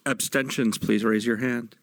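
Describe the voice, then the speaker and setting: A man with an American accent chairing a meeting, speaking in formal procedural phrases with halting improvised stretches. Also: medium pitch, fairly even intonation, no echo.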